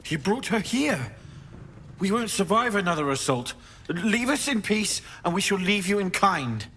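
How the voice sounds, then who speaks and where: A man's voice: high-pitched, sing-song, pushed and bright, a little hiss or hum, heavily acted. A man playing a cunning, menacing false paladin who serves an archdevil, in dramatic video game acting. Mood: feigned fearful pleading. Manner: feigned fearful pleading turning to cold, deliberate threats.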